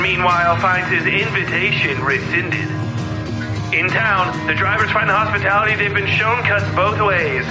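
A man's voice: high voice, rough recording. A man announcing the next episode of a horror serial in a dramatic, theatrical, scripted style. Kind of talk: old-time serial teaser announcing.

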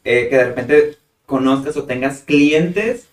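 A man with a northern Mexican accent answers questions as a psychologist guest, steady and thoughtful, often acting out short quoted exchanges. He sounds set back from the mic in a roomy space with a noticeable echo. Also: mid-range voice, slow pace, far from the mic. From Tijuana, Mexico. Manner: thoughtful, explains with examples and quoted asides. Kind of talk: interview answers.

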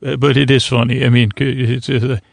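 Guy with a gravelly mid-low voice doing a sleepy-time podcast, super dry sound, rambles and trips over his words. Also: flat delivery, dry recording. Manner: meandering, halting, stammering, digressive, self-deprecating. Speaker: podcast host. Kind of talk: rambling bedtime monologue to help listeners fall asleep.

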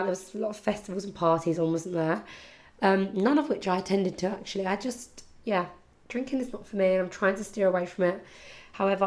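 A young woman with a husky, congested voice from hay fever, chatting casually and rambling to her podcast listeners. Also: mid-range voice. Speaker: podcast host. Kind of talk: casual chat.

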